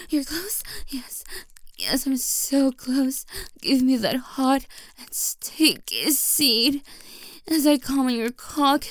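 A woman playing a doting, nurturing mature girlfriend in an intimate adult audio roleplay, with a breathy, cooing delivery. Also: high-pitched, no echo, exaggerated voice. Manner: breathy, cooing, pet-name-laden intimate address.

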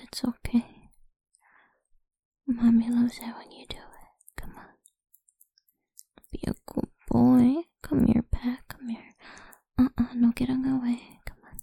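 A woman speaking in a soft, coaxing, mostly whispered voice for an intimate ASMR roleplay. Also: a little high, creaky, husky, no echo.

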